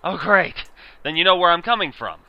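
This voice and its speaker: A man's voice: high voice, lively intonation, normal speed, vocal fry, dry recording, highs cut off. A man acting a nerdy, bullied teenage schoolboy in an amateur audio drama, with a theatrical, wordy, pleading manner.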